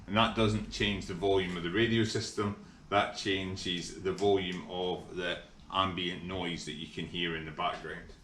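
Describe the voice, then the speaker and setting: A middle-aged Scottish man casually explaining a kit installation in a workshop, relaxed and full of ums. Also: sits low, measured pace. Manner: relaxed, hesitant with frequent ums. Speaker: workshop vlogger. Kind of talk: casual how-to demonstration. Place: large indoor workshop. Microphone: camera mic from overhead. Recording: echoey workshop with faint hum.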